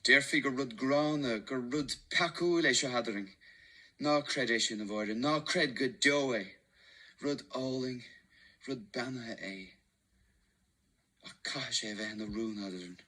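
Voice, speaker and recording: A married schoolteacher caught up in a hidden romance in an audio drama. He pleads and confides with earnest feeling. His voice is bright and forceful, set at an ordinary middle pitch. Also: unhurried. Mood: earnest, confiding. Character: secretive male schoolteacher, married, in a hidden love affair. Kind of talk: radio drama acting.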